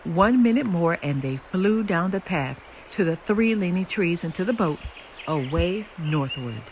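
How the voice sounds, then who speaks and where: A woman's voice: on the low side, expressive, unhurried, noisy background. An older woman voicing a mighty, ancient river in a storybook, grumpy yet indulgent, with solemn, weighty delivery. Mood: solemn, wistful.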